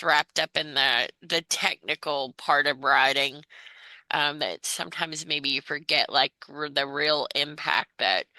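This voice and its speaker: Female interviewer, low-pitched and very crisp-edged, reflective and conversational, recorded close and dry. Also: pushed and bright, no room sound, poor audio. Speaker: interviewer, host.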